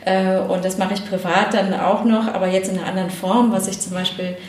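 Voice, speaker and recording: A woman's voice: medium pitch, steady tone, a little fast, darkish tone. Thoughtful woman guest, echoey room. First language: German. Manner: thoughtful, fluent with frequent fillers. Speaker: guest, press spokesperson. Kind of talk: casual interview answers.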